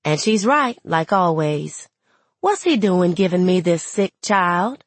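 A woman's voice: lowish voice, lively intonation, slow pace, no room sound. A woman playing a plainspoken, wry, resentful young woman, telling her story in conversational dialect for an audiobook. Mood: wry, aggrieved. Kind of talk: first-person audiobook narration in character.

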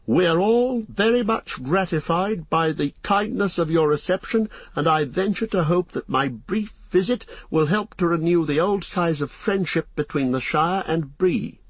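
A man's voice: fairly high pitch, normal speed, hardly any pauses, husky, dry recording, voice pushed up, rough recording. A man voicing a polite young male hobbit addressing a crowd in a formal, declamatory storybook manner. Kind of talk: audiobook character acting.

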